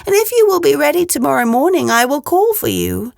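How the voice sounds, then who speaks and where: Female reader as big bad wolf for a children's tale: wheedling, menacing, drawn-out, very animated intonation. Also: high voice, vocal fry, no room sound, clean studio sound, pitched above the performer's own voice, exaggerated voice. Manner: slow, drawn-out, wheedling delivery. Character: sly, menacing male Big Bad Wolf coaxing his prey. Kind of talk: children's storybook read-aloud.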